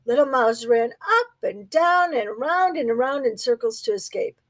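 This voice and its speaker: A woman reading a children's storybook aloud expressively, pausing to put questions to young listeners. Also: on the high side, animated. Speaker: storybook reader. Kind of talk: children's read-aloud narration.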